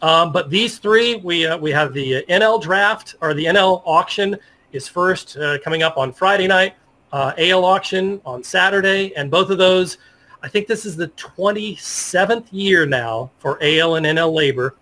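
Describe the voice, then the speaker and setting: A middle-aged man with a very bright, forward voice sitting somewhat high in pitch. He talks casually as an interview guest, picking his way through sentences in a halting, modest manner. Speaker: interview guest, sports journalist. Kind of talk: casual interview conversation about fantasy sports.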